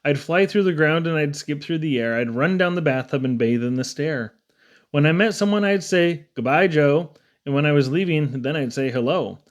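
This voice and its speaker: A man's voice: fairly high pitch, a little fast. A man reading humorous children's poems aloud in a theatrical, playful storytelling manner. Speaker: poetry reader.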